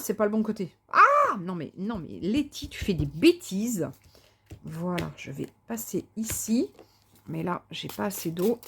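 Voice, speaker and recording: A woman's voice: mid-range voice, creaky, fairly bright tone, a little hiss or hum. Chatty woman crafting with lots of fillers. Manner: chatty, thinks aloud with many fillers and restarts; enthusiastic about colours. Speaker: craft vlogger. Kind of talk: casual crafting chat.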